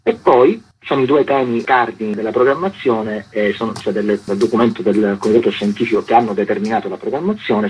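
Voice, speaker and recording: A man's voice: on the low side, breathy edge. A man, interviewed on the radio as a festival organiser over a lo-fi link. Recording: dull, lo-fi remote radio link.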